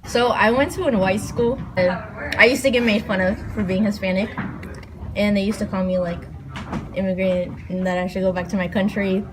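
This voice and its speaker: A woman's voice: mid-range voice, fairly even intonation, normal speed, background noise. A young woman from Portland, Oregon, speaking casually with many fillers in an interview. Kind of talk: interview testimony.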